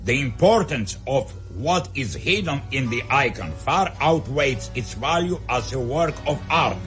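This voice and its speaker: An actor playing a stern, commanding Soviet leader in a radio drama, with a blunt, imperious delivery. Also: male voice, mid-range voice, animated, measured pace, a bit bright, soft background music.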